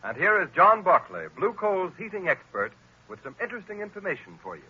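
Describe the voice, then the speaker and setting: A man's voice: high-pitched, very animated, a little fast, sharp tone, soft background music. Formal old-time radio announcer man. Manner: crisp, formal announcer delivery. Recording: dull lo-fi old radio recording.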